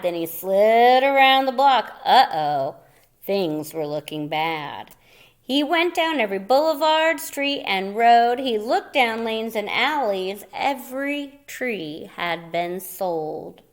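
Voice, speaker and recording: A woman storyteller with a high voice reads a picture book to young listeners. Her delivery is theatrical and playful, swinging widely in pitch. She takes her time, with slow phrasing and dramatic pauses. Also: big pitch swings. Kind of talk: expressive children's picture book read-aloud with asides to listeners.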